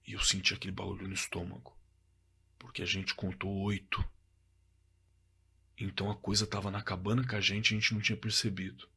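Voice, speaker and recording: Actor as shaken Brazilian man, slightly husky voice. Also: low voice, little pitch movement, pushed and bright, full character voice. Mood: tense. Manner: halting, repeating words, colloquial. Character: shaken young man recounting a frightening cabin night. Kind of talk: dramatized first-person horror story, told conversationally.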